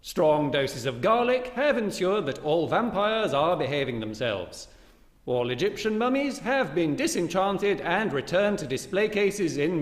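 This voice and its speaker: An actor playing a formal, chirpy announcer of a mock public information broadcast, with theatrical delivery. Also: male voice, on the high side. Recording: echoey stage hall.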